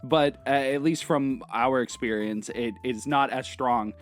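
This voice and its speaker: A man's voice: medium pitch, a little slow, soft background music. A man co-hosting a casual movie review chat, speaking in an enthusiastic, emphatic way. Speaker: video show host.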